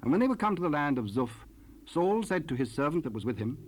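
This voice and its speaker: A man narrating scripture from a script in a formal, measured way. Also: a little high, very animated. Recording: hissy 1970s cassette rip.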